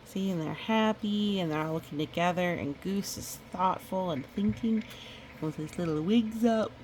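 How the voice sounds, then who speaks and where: A woman reading a picture book aloud in a chatty, expressive way, with casual asides. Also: fairly low pitch, normal speed, slight background noise, low-quality recording. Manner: chatty, expressive storytelling with playful sound effects. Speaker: storybook reader.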